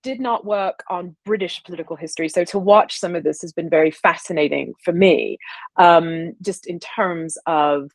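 A woman from the American South answers interview questions in a loose, thoughtful way. Her voice sits a little low, and she pauses and restarts often. The sound is close and dry. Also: creaky, no room sound. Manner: thoughtful, many fillers and restarts. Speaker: guest historian. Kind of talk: casual interview conversation.

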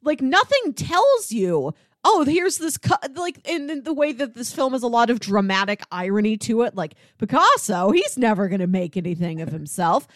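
A woman co-hosting a casual film discussion in an expressive way, given to acting out little mock quotes. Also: on the high side, normal speed, hardly any pauses, no echo, studio quality. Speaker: podcast co-host.

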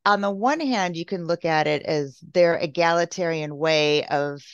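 A woman from the United States hosts a podcast and asks long, effusive, winding questions. Her voice is low and full of vocal fry, and the audio sounds muffled and dry. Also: moderate pace, dry recording. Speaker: podcast host. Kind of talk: casual interview.

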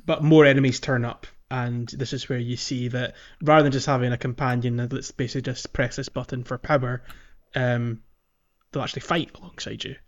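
A male podcast host with a mid-pitched voice talks casually about video games. He tends to chuckle partway through sentences. The audio is a little dull and lo-fi. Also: flat delivery, a little fast. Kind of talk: casual video game discussion.

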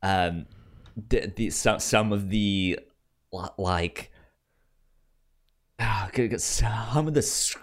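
A man with a fairly low voice talks casually about films as a podcast co-host. His delivery is very slow and hesitant, and he stutters, repeating sounds and words as he goes. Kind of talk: casual film review chat.

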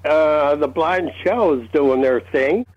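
A man giving a casual update on a club call, easygoing and rambling, with hesitant pauses. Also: a little high, no echo. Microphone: remote call connection. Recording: muffled, dull call audio.

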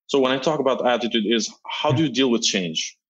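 A man's voice: medium pitch, expressive, a little fast, fairly bright tone, lo-fi sound. A middle-aged man from Albania, answering interview questions earnestly and often repeating words as he searches for them. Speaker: guest.

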